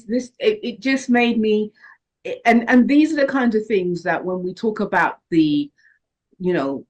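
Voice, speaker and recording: Female moderator from the Caribbean, conversational and thinking aloud, heard over a video call. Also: on the low side. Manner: thoughtful, with frequent restarts and repeated words. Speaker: moderator of an online discussion. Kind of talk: casual online group discussion.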